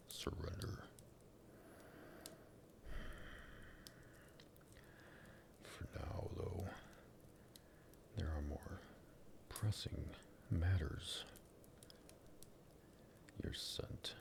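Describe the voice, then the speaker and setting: A man playing a sadistic, possessive vampire master in an ASMR roleplay, in a breathy, gravelly whisper with a teasing, condescending drawl. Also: rumbling low, full character voice. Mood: possessive, seductive. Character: sadistic vampire master, possessive and menacing, toying with captive lover.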